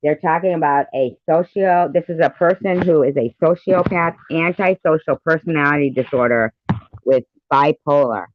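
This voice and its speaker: A man's voice: fairly high pitch, moderate pace. A man giving casual, opinionated commentary, emphatic and repeating his phrases, with muffled, echoey sound. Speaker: commentator reacting to a played clip.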